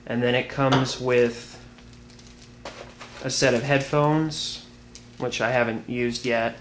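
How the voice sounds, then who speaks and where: American guy doing a plain-spoken product demo, mid pitch, pretty flat delivery, noisy homemade audio. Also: slow. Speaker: video reviewer. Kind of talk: informal product demonstration. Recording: clearly noisy amateur video sound.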